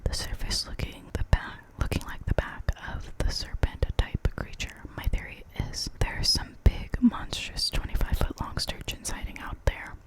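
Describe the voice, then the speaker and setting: An ASMR storyteller reading spooky local legends in a soft, unvoiced whisper, now and then stumbling and correcting themself. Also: moderate pace, few pauses, low-quality recording. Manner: soft unvoiced whisper throughout, with small slips and self-corrections.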